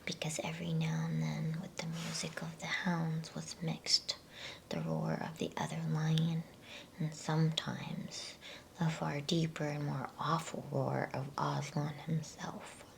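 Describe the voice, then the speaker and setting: Woman, whispered storytelling, creaky breathy fry, low flat pitch, soft and soothing, ASMR bedtime reading. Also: a little hiss or hum. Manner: soft, slow, soothing, with pauses between phrases.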